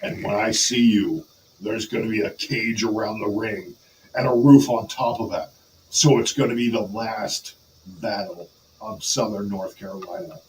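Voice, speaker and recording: Guy doing big tough wrestler callout, low dramatic voice, halting, off the mic a bit. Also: far from the mic, a little hiss or hum, lightly acted, rough recording. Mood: menacing, challenging. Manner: dramatic, halting, calls opponent brother. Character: big menacing pro wrestler cutting a challenge promo.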